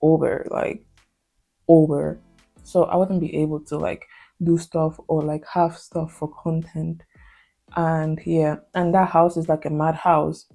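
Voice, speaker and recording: Spanish-accented woman, low creaky voice. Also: little pitch movement, unhurried, dark tone, faint music behind. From Spain. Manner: hesitant, heavy on fillers and restarts. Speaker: vlogger. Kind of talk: confessional casual vlog talk.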